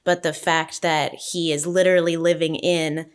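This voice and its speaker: Low-voiced woman, thoughtful discussion. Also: flat delivery, moderate pace, smooth. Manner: thoughtful, explanatory, informal. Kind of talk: casual analytical discussion of a script.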